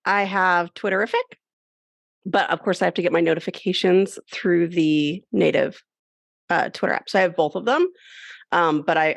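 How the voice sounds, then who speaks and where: Gravelly low-voiced woman on a panel. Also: no echo, studio quality. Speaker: panel guest. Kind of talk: casual panel chat.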